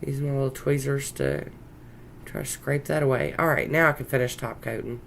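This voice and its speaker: Croaky Southern US woman, congested and chatty. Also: extremely low pitch, animated, moderate pace. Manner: folksy, rambling, chatty. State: congested with a sinus infection, sore throat. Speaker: nail art tutorial host. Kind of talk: casual narrated tutorial chat. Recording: noticeable background noise.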